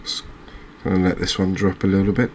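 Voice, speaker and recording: A man with a low voice and very level intonation gives soft ASMR gameplay commentary. He sounds gentle and unhurried. Faint background ambience sits under his voice. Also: completely flat delivery, slight background noise. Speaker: video game streamer.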